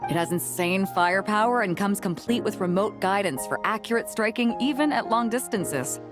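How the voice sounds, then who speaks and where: Actress as hearty young woman sea captain, game role, mid-pitched, cocky ease, music underneath. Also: expressive, moderate pace, natural voice. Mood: proud. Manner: breezy, confident, colloquial. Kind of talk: video game character voice acting. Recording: music playing behind.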